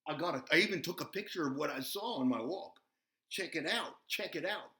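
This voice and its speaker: A man voicing an excitable, folksy, bumbling buzzard who hosts a children's show, rambling and repeating himself as he chats. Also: fairly high pitch, fairly quick, roomy sound. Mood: excited. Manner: folksy, repetitive, easily flustered, giggly exclamations. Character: excitable, folksy, bumbling old farm buzzard puppet host.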